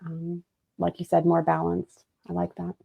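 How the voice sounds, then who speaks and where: Woman co-host, low gravelly tone, thoughtful and agreeable, tinny phone sound. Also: monotone, moderate pace. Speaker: podcast co-host. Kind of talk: casual podcast chat.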